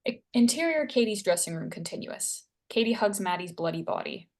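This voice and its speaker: A woman's voice: mid-range voice, animated, fairly quick. A woman reading scripted stage directions as the narrator of a screenplay table read.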